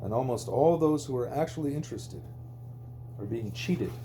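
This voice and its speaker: Guy narrating an audiobook, really dark mellow voice, bit of hum and noise behind him. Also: medium pitch, expressive, normal speed. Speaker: audiobook reader. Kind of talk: scripted narration, reading an interview aloud.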